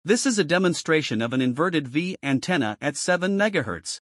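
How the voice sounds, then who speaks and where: A man's voice: on the high side, sing-song, brisk, dry recording, clean studio sound. A man reading scripted instructional narration as a voice-over for a product demonstration. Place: close, dry indoor voice-over recording.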